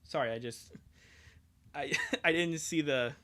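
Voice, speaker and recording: A man's voice: fairly high pitch, unhurried, sharp tone. A young man chatting casually on a livestream in a rambling, self-deprecating, profane way. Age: young adult. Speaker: streamer.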